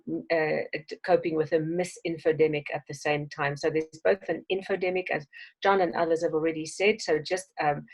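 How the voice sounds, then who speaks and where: A South African woman giving a measured, thoughtful talk on a video call, with frequent ums. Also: fairly low pitch, poor audio. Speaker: webinar speaker.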